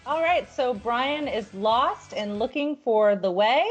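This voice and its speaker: A woman's voice: on the high side, animated, faint music behind. Playful woman hosting over a muffled call. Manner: playful teasing, quick laughs. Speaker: host of a live dating game show. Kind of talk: upbeat game-show hosting. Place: home at her parents' house. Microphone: video call.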